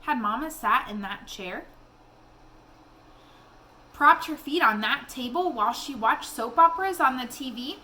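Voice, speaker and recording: A woman reading a children's novel aloud in an expressive storytelling style. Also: high-pitched, unhurried, pauses often.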